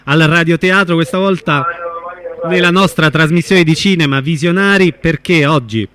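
A man's voice: fairly high pitch, normal speed, a bit bright. A man hosting a live radio interview, addressing guests and listeners. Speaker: radio host.

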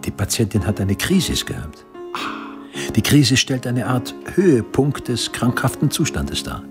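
An actor playing a fervent, pompous eighteenth-century doctor in a German radio drama, lecturing in a grandiose manner. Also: male voice, medium pitch, very animated, a little slow. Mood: pompous, explaining. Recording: music behind the voice. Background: instrumental music.

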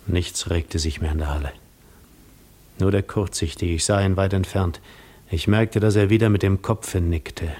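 Weary, lonely Greek wanderer, lowish voice, measured literary storytelling for a 1950s radio play. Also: male voice, steady tone, a little mellow. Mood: brooding. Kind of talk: 1950s German radio drama narration.

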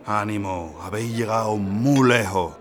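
A man playing a conciliatory, courteous businessman in a radio drama, measured and polite. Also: lowish voice, a crowd in the background, natural voice. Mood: regretful, consoling.

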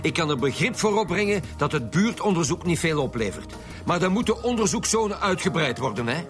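An actor with a Flemish accent playing an impatient, bossy homicide squad chief who talks emphatically in a radio drama. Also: male voice, fairly high pitch, big pitch swings, fairly quick. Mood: exasperated. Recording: faint background music.

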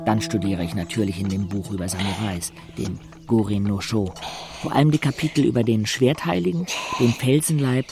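Ein Mann als knarziger, trockener junger Erzähler. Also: male voice, fairly low pitch, brisk, music in the background, close to an everyday voice. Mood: dry, reflective. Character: Fransje, wry young wheelchair-bound male narrator from a village. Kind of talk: first-person radio drama narration.

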